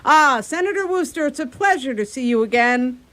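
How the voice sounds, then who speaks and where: A woman's voice: high-pitched, animated, normal speed, fairly bright tone. A woman acting in a radio play as a gracious, effusive hotel hostess with a sardonic edge. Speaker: actor in a community radio play.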